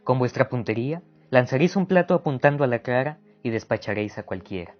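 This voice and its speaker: A man's voice: mid-range voice, expressive, fast talker, natural voice. A man playing a teasing, paternal army commander in a radio play. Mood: amused, teasing. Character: teasing male army commander addressing young women soldiers. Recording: dull lo-fi sound over music. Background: music.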